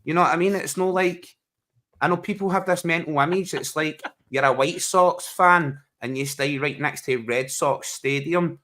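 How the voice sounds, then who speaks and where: Glasgow man, podcast host, bright and pushy, teasing banter over a video call. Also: fairly high pitch, normal speed. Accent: Glaswegian Scottish. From Scotland. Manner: joking, teasing, calls his friend bro and mate. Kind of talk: casual livestream banter.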